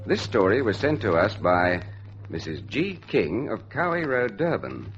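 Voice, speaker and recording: Slow, deep-swooping male radio announcer over music. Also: sing-song. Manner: deliberate, theatrical. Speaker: announcer, story presenter. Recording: muffled old radio recording under loud music.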